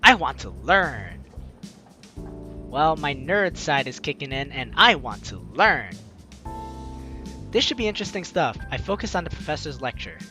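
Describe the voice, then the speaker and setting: A man's voice: on the high side, big pitch swings, normal speed, pauses often, pushed and bright. A man reading a visual novel aloud as a let's play host, expressively acting out reactions and adding playful asides. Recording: music under the voice. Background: game music.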